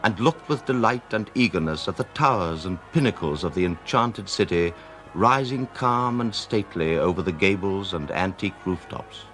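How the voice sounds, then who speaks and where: An actor as storyteller, a man with a British accent giving measured literary narration in a radio drama. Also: lowish voice, fairly bright tone. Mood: lyrical. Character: male storyteller narrating a Victorian novel.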